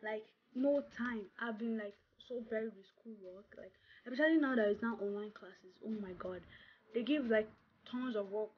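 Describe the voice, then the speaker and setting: A woman's voice: on the high side, moderate pace. A young woman from Nigeria with a Nigerian accent, chatting casually to camera as a vlogger, stressing points by repeating words. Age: young adult. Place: small room indoors. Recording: dull lo-fi sound, clear background noise.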